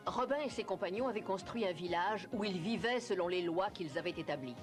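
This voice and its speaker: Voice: medium pitch, lively intonation, fairly quick. Storybook narrator over music. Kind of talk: scripted children's storytelling narration. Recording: clear music bed under narration.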